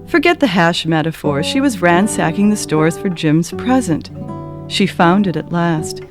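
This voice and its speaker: A woman narrating a classic story in an expressive, measured and dramatic scripted style. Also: on the low side. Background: acoustic guitar music.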